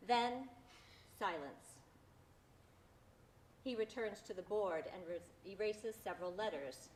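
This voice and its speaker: Lady reading stage directions, measured narration, medium pitch, bit of noise behind her. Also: animated. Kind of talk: scripted narration.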